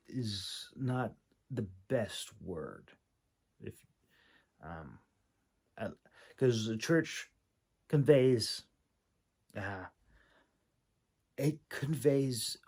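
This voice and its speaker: A man giving an informal, unscripted critique in a halting, hesitant way, with many pauses and repeated words. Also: mid-range voice, expressive, a little mellow, husky. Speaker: audiobook narrator and manuscript critic.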